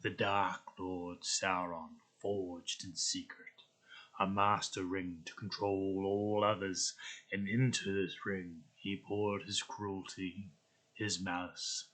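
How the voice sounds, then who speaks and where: A man playing a solemn narrator of an epic fantasy film prologue, reading in a measured way. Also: deep voice, little pitch movement, a bit bright, full character voice. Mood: solemn, ominous.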